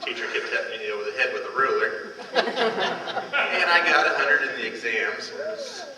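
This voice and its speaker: A man performing a folksy, countrified old-time comedy monologue, telling stories with drawn-out setups in homespun phrasing. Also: medium pitch, wildly swooping pitch, crowd noise. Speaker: amateur comedian. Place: large hall in front of a live audience. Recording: echoey hall from far off, noisy.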